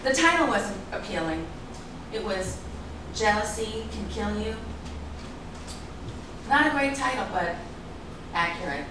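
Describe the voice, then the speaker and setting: A woman's voice: mid-range voice, animated, measured pace, pauses often, lightly acted. A woman playing a vain, self-assured reality-show star in a staged drama reading. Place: room at a live staged reading. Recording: echoey room, distant mic, noisy.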